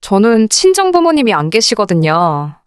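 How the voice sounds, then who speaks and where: Female story narrator, polite and confiding, lively intonation, crisp clean voice, dry close sound. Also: medium pitch, normal speed, no room sound. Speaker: narrator of a radio-drama story channel. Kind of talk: scripted first-person story narration.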